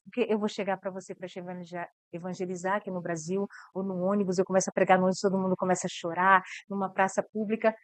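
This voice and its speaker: Brazilian woman missionary, earnest interview guest, clear higher voice, dry sound. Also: no echo. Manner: earnest, repeats words as she thinks. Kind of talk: interview about mission work.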